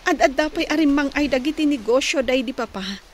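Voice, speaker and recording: A young señorita in a radio drama, played by a woman, speaks in a high, plaintive voice with an Ilocano accent. She sounds earnest and aggrieved, over faint background noise. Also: fairly quick, lightly acted. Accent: Northern Philippine (Ilocano).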